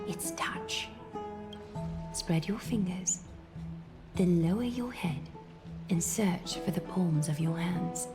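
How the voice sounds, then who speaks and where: Solemn game priestess: low, breathy, deliberate, commanding, music behind. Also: female voice. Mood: hushed, hypnotic. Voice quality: breathy, at times whispering. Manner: slow, deliberate, formal and commanding. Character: serene, solemn priestess guiding a sacred water ritual. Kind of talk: video game voice acting. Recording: background music under game dialogue.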